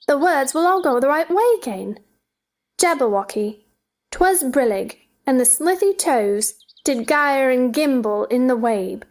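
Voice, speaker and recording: A woman playing a curious, polite young girl, speaking with earnest wonder in a theatrical storybook dramatization. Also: high-pitched.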